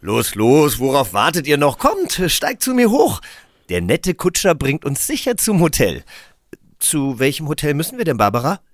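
A man's voice: on the high side, wildly swooping pitch, moderate pace, no room sound, clean studio sound. A man voicing a cheerful, gushing, oblivious traveller who eagerly herds the family along in a children's radio play. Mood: eager, urging. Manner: exclamatory, overeager.

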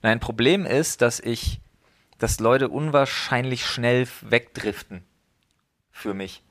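A man's voice: medium pitch, takes it slowly, fairly bright tone. A man in his thirties in casual podcast chat, talking reflectively with many fillers and restarts. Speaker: podcast host.

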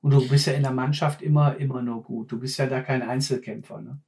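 A man's voice: mid-range voice, flat delivery, fairly quick, gravelly, darkish tone. A man, a former mine fitter talking bluntly and casually, often repeating words while he searches for them. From Ruhr area, Germany. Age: 50s. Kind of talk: casual conversation.

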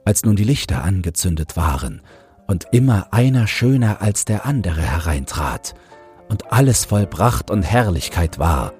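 Ein Mann liest als Hörbucherzähler ausdrucksvoll einen geschriebenen Märchentext vor. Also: male voice, low voice, sing-song, moderate pace. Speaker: audiobook narrator and podcast host. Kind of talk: scripted fairy-tale narration. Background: soft music.

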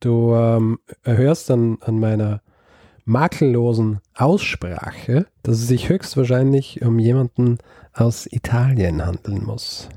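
Hesitant man telling a story, mellow voice. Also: medium pitch, takes it slowly, no room sound, clean studio sound. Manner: hesitant, many ums and restarts. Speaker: podcast host telling a story. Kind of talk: casual storytelling chat.

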